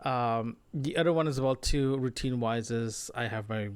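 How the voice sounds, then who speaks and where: A man's voice: mid-range voice, normal speed, clear, resonant tone, no echo. Man rambling through a casual audio diary. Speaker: solo podcaster, remote-working designer. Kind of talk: casual audio diary monologue about daily routine.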